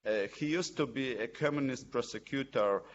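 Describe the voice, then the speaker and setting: A man's voice: mid-range voice, moderate pace. A Polish man speaks English on a panel, stumbling over words and restarting but pressing his point with indignation. The sound is a bit dull, with faint noise under it. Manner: hesitant, many fillers and restarts, indignant. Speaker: judge on a panel.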